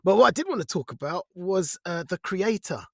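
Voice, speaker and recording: A man chats as a podcast co-host with a widely moving, expressive pitch. His delivery is informal and animated, like someone retelling things to a friend. The recording is dry, without room reflections. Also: a little fast, vocal fry, dry recording. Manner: colloquial, animated retelling with quoted lines. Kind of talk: casual chat review.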